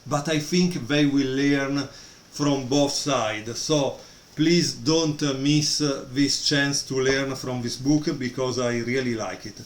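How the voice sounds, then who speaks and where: Guy rambling through a book review, lots of uhs, middle-pitched voice, faint noise in the room. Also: steady tone, slow, reverberant. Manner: hesitant, many fillers. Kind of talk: casual video review. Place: room at home, storm outside.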